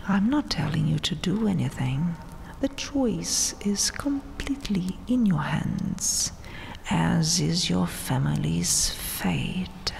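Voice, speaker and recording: A woman voicing a haughty, gloating vampire queen in a breathy, taunting whisper for an ASMR roleplay. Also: on the low side, lively intonation, heavily acted. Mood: coy, insistent. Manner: taunting, mock-sweet, haughty, drawn-out delivery. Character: domineering, gloating vampire queen, regal conqueror. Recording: long cavernous reverb, faint hiss. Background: dark tomb ambience.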